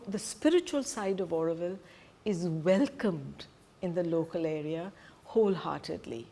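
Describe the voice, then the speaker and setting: A woman's voice: mid-range voice, darkish tone. Middle-aged woman from Punjab, Hindi speaker. Earnest, emphatic group presenter. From Punjab, India. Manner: earnest, emphatic, stresses key words. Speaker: presenter and teacher. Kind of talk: reflective talk to a group.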